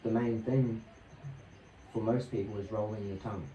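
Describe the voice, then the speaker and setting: Mellow young man telling stories, roomy echo. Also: lowish voice, steady tone, normal speed, dull audio. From New Zealand and Australia. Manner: laid-back, slangy, swearing. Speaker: podcast guest. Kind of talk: casual rambling storytelling. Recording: roomy echo, distant mic, faint noise.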